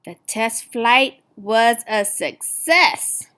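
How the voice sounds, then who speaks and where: Woman, fairly high voice, very slow pace, expressive children's read-aloud, emphasized words. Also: clean tone. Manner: deliberate, animated storytelling with emphasized words. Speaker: storyteller reading aloud to children.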